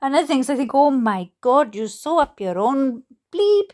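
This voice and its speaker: A Welsh woman reading aloud casually with chatty, jokey asides to her online audience, in an expressive, self-deprecating way. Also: sits high, moderate pace. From Wales. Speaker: YouTube host reading letters aloud.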